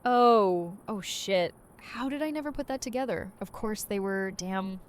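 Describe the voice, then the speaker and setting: A woman's voice: medium pitch, a little slow, a little hiss or hum, natural voice. A woman playing a tough, affectionate young leader in an audio drama, casual, blunt and brash in manner. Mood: rueful. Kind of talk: fan audio drama acting.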